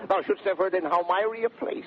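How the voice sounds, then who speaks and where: An actor playing a half-frozen, bossy, complaining servant in 1930s radio Shakespeare comedy. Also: male voice, sits high, fairly quick, natural voice. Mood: grumbling, boastful. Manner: exclamatory, complaining, stuttering with cold. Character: grumbling, half-frozen, bossy male servant back from a journey. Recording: scratchy, noisy, narrow-band 1930s radio recording.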